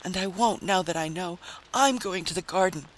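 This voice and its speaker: A man's voice: sits high, normal speed, a bit bright, a little hiss or hum, voice pushed up, low-quality recording. A man voicing a desperate, distraught wife in a dramatic story reading, agonized and close to hysteria. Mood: defiant. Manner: agonized, faltering, rising to screams.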